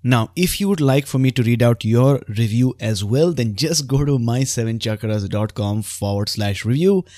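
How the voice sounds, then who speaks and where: Man, medium-pitched voice, podcast host, earnest emphatic delivery, speaking directly to listeners, dry close recording. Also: lively intonation, moderate pace, few pauses, a little mellow, dry recording. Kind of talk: upbeat podcast hosting and reading book excerpts aloud.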